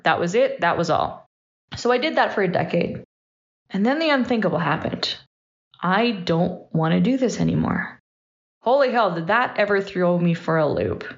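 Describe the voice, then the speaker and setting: A woman in her thirties giving a personal, reflective monologue as a podcast host, candid and emphatic, in short punchy sentences. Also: mid-range voice. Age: 30s. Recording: dull, slightly lo-fi voice recording.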